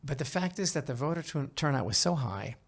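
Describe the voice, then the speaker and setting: A man giving conversational opinion commentary as a podcast host, halting and emphatic, repeating phrases and often checking in with the listener. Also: on the high side, animated, husky.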